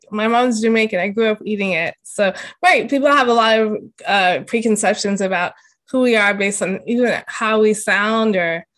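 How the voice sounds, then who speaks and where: A woman's voice: medium pitch, a bit bright. A woman in her thirties hosting a podcast interview, chatting casually, agreeing and sharing personal stories. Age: 30s. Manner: conversational, agreeing, tells personal anecdotes.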